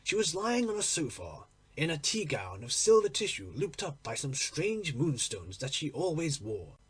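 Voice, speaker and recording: A man reading a literary story aloud in an expressive, theatrical manner. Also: a little high, normal speed, slightly rough. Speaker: audiobook reader. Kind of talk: dramatic literary story narration.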